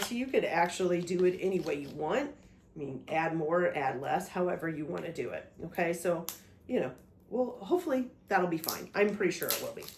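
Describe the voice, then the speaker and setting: A woman's voice: fairly low pitch, slight background noise. A woman whose voice still carries a cold, walking viewers through a recipe in a chatty, easygoing way. She keeps checking in with her audience as she measures and mixes. The sound bounces around an echoey home kitchen. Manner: chatty, talks through each step, frequent "okay?" check-ins. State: recovering from a cold, voice a bit off. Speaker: cooking vlog host. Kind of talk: casual cooking demonstration.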